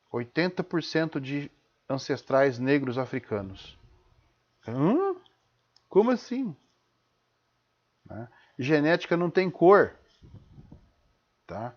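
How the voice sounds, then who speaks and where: Emphatic Brazilian man in dull lo-fi audio. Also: on the high side. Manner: emphatic, didactic, punctuated with rhetorical questions and check-in tags. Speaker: host of a live-streamed talk. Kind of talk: informal spoken lecture. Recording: dull, lo-fi live stream audio.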